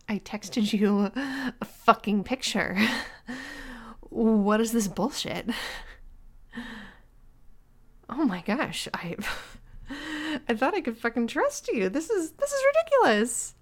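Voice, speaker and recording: A woman acting out a bossy, scolding young girlfriend in an audio roleplay, slipping between hushed whispers and loud outbursts and laughing mockingly as she talks. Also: mid-range voice. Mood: exasperated. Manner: frequent laughter while talking.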